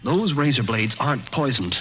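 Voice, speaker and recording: A man's voice: medium pitch, animated, moderate pace, lightly acted. An actor playing a firm, guarded, desperate young man in a 1940s radio drama. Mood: urgent. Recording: dull old radio broadcast, faint hiss.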